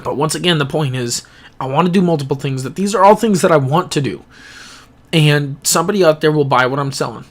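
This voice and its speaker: A young man talking earnestly and reflectively into his camera in a rambling personal vlog, pausing and restarting as he thinks. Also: on the high side. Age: young adult. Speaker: gaming YouTuber. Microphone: camera-mounted microphone, close.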